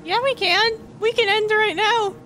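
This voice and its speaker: A woman's voice: measured pace, slight background noise, full character voice. A woman voicing a meek, awkward young male hedgehog in an improvised comedy fandub, whiny, hesitant and over-earnest. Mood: agitated. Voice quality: squeaky, whiny. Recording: loud music behind the voice. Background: game cutscene music.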